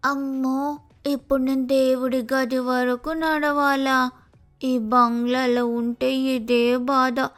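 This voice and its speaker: A woman's voice: high voice, little pitch movement, slow pace, soft background music. A woman voicing a lazy, pampered young female sparrow in a cartoon moral story, whining and wheedling dramatically. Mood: weary complaining.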